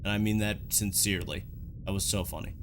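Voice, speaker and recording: A man's voice: on the low side, steady tone, fairly quick, noisy background. A man giving casual, conversational reaction commentary on game footage, with dryly joking asides. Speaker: host of a video reaction and analysis show. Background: background music.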